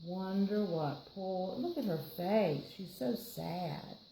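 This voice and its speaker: A woman with a Southern US accent reading a picture book aloud to children, with playful, expressive, chatty asides. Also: mid-range voice, slow pace, creaky, echoey room, slight background noise, poor audio. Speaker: children's storytime reader.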